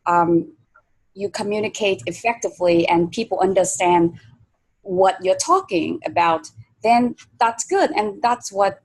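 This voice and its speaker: Slow-talking woman interviewer. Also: mid-range voice, lively intonation, breathy edge, poor audio. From Vietnam. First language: Vietnamese. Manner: hesitant, many fillers. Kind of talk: conversational interview.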